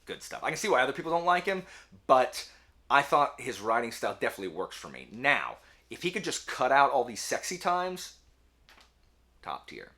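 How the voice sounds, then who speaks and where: Guy doing a chatty book vlog, really lively up and down voice, bright, kinda rambly and slangy. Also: medium pitch, normal speed. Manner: informal, rambling, playful slang. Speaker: book reviewer, video host. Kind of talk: casual chat to viewers.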